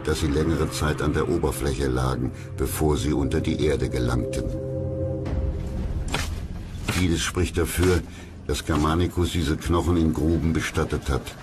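A man's voice: rumbling low, steady tone, moderate pace. A man narrating a documentary from a script in a solemn, dramatic storytelling manner. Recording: music loud behind the voice. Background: loud background music.

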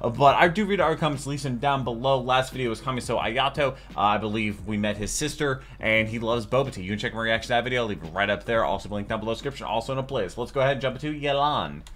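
A man's voice: mid-range voice, fast talker, hardly any pauses, a bit bright, a little hiss or hum. An American man in his thirties giving casual, chatty, enthusiastic reaction commentary as a video host at home. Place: small furnished home room.

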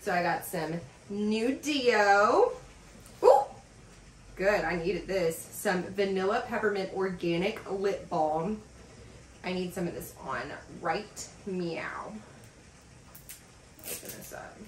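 A woman in her thirties chatting in a casual vlog as she unboxes packages in a home office, gushing and excited, with lots of fillers. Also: mid-range voice, slow pace, pauses often, far from the mic, slight background noise. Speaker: vlogger. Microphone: camera across a desk. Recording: roomy sound from off the mic.

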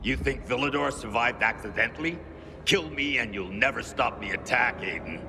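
A man's voice: mid-range voice, animated, moderate pace, vocal fry, sharp tone, faint music behind, heavily acted. An actor playing a composed, calculating middle-aged male military commander in a video game. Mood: grave. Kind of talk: dramatic video game acting.

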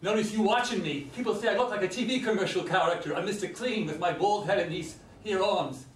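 A man playing a loud, excitable, folksy and menacing ex-convict hitchhiker in a staged play reading. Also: high-pitched, a little fast, a little hiss or hum, natural voice. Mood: aggrieved, folksy. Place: meeting room, around a table. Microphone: far camera. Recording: distant mic in echoey room.

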